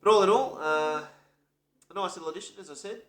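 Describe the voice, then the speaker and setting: Bloke with a cold, bright voice, hesitant review chat in an echoey room. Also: male voice, high voice, fast talker. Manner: relaxed, hesitant with many fillers. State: has a cold. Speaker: toy reviewer. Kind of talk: casual toy review.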